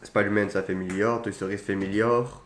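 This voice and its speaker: Low-voiced young man, roomy sound. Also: fairly even intonation, a little fast. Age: young adult. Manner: opinionated, slangy, mild swearing. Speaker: podcast co-host. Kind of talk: casual film chat. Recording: roomy echo, faint background noise.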